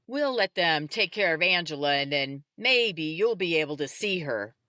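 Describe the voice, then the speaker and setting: Woman acting a gentle man, bright-toned. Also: low voice, animated, moderate pace, no echo, clean studio sound. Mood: comforting. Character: gentle, steady family man offering comfort.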